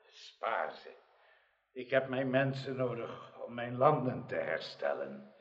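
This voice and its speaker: An older actor playing a grieving, dignified old king in a radio drama, with a solemn, halting delivery. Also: medium pitch, very animated. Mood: stern. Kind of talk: theatrical radio drama acting. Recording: dull, echoey radio play recording.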